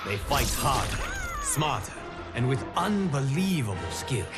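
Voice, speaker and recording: An actor playing a solemn, wise and watchful guardian who narrates a video game's story in formal, dramatic style. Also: male voice, mid-range voice, very animated. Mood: grave warning. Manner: solemn, measured, formal delivery. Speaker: narrator of game story cutscenes. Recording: loud music under studio voice. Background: loud game soundtrack music.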